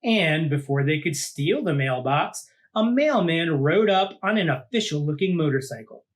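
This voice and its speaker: A man reading a children's picture book aloud like a teacher, with deliberate dramatic phrasing and drawn-out words. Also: on the high side, animated. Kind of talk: animated storybook read-aloud for children.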